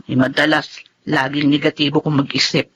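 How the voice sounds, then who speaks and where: A woman's voice: deep voice, little pitch movement, normal speed, fairly bright tone, slightly rough. A woman acting a worried, protective wife and mother in a Filipino radio drama, over faint noise. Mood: concerned.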